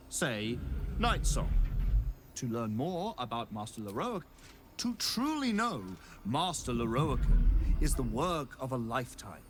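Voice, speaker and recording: A magical construct who serves shop customers in a fantasy game. His delivery swoops theatrically up and down, with polite, officious pomposity and ornate formal phrasing. Clear background noise sits behind him. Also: on the high side. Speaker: shop attendant. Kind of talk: fantasy video game acting.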